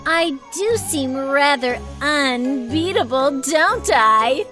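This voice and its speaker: A haughty cartoon diva, queen of the dead, in a very high voice. She takes her time, smug and languid, over clearly audible music. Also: animated, slow. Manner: haughty, languid, self-satisfied. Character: vain, grand diva singing queen of the dead. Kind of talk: animated film voice acting.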